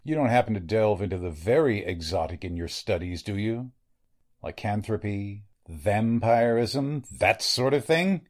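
A man performs a blunt male medical examiner in a vintage radio drama. His voice sits fairly low. He is grave and deliberate, weighing out his lines. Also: expressive, a little mellow. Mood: blunt, accusing. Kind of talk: 1940s radio drama acting.

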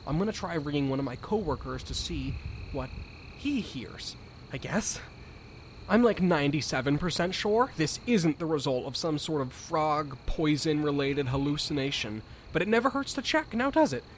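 A man acts out an excitable young-at-heart field researcher in an audio drama. His voice is a little high, chatty and hesitant, with faint crickets around it. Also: moderate pace, a little hiss or hum, natural voice. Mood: earnest. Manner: rambling, hesitant, exclaiming. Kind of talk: audio drama acting as a recorded field log.

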